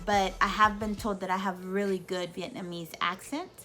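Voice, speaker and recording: A woman in her thirties talks straight to her camera in an easygoing vlog. She answers viewer questions in a chatty, animated way, full of ums and self-corrections. Her voice sits at an ordinary middle pitch, and a little music plays faintly behind her. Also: normal speed. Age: 30s. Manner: chatty, animated, many fillers and restarts. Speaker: YouTube vlogger. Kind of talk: casual Q&A talk to camera.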